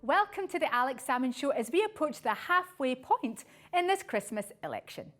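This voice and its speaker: Woman, TV presenter, high pitch, very animated intonation, scripted reading. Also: moderate pace, faint music behind. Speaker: TV show presenter. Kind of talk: scripted presenting to camera, reading introductions and messages.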